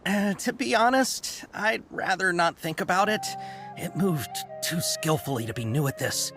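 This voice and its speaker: An actor voicing a nervous, chatty caravan merchant who hesitates a lot, with many uhs. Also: male voice, high voice, animated, normal speed. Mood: uneasy. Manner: hesitant, full of uhs, then eager. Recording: game audio with background music.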